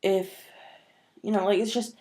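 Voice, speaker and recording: A woman's voice: mid-range voice, steady tone, measured pace, mellow. A woman talking casually to camera in a vlog, sniffly from a cold and rambling with many fillers. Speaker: vlogger.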